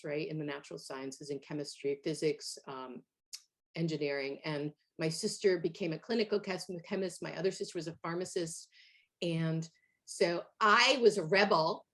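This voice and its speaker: A middle-aged woman from Hungary, a guest in an interview, telling her story in a lively way with fillers and laughs. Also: fairly low pitch. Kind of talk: conversational interview.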